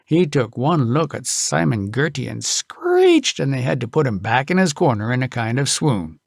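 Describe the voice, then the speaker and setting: A man narrating a tall tale as an audiobook, in a folksy, expressive storytelling manner. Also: medium pitch, normal speed, vocal fry, no room sound, studio quality.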